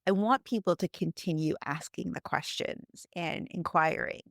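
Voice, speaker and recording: Creaky, low-voiced woman podcast host. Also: normal speed, no room sound, studio quality. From Canada. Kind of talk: conversational podcast chat.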